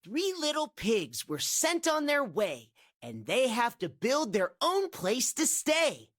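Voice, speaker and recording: A woman's voice: on the high side, huge pitch swings, slow pace, a bit bright, dry recording, clean studio sound. A woman giving scripted rhyming storybook narration in a sing-song, bouncy way.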